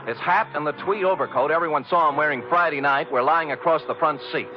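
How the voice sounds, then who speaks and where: An actor playing a wry, hard-boiled private detective who narrates in a glib, mock-formal deadpan in a scripted 1950s radio drama. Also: male voice, fairly high pitch, fast talker, natural voice. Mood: tense, wry. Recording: dull old radio recording with music.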